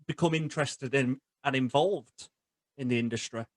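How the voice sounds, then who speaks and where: A man's voice: mid-range voice, moderate pace, dry recording, clean studio sound. A young man hosting a podcast, chatting casually and bantering informally with his guest. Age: young adult. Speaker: podcast host. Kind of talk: casual interview chat.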